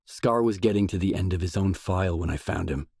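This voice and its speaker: Determined male game protagonist, low husky voice, serious and understated, close dry recording. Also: fairly quick, darkish tone, no echo, heavily acted. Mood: matter-of-fact. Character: determined, brooding male stalker in a grim game. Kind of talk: game voice acting, isolated dialogue lines.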